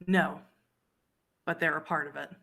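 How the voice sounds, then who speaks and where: A woman plays an old female vampire informant in a tabletop roleplay. Her voice sits a little low and sounds weary and guarded. She speaks in short, measured statements with dramatic pauses. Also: steady tone, gravelly, bright, forceful tone, exaggerated voice. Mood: grim, wry. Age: older.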